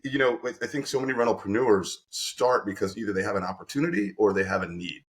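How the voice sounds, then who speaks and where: A man hosting an interview podcast, energetic and effusive, often restarting his sentences. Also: fairly low pitch, fast talker. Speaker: podcast host and company representative.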